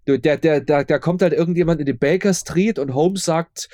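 Male interview guest, fairly high voice, agreeable, informal, repeats himself, dry recording. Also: normal speed, dark tone, no room sound. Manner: agreeable, informal, often repeats his words. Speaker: interview guest, audio drama maker. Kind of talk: casual interview chat.

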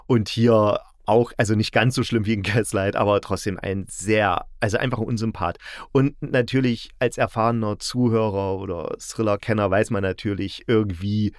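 A man's voice: on the low side, steady tone, moderate pace, no echo, clean studio sound. A man in a casual podcast review chat, often breaking off and restarting his sentences as he explains. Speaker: podcast host reviewing audio dramas.

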